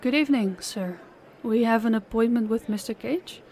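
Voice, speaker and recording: A woman's voice: a little high, moderate pace, darkish tone, slight background noise, close to an everyday voice. A woman voicing a nervous, polite young woman who stammers a little in an audio roleplay. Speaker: voice actor, channel host.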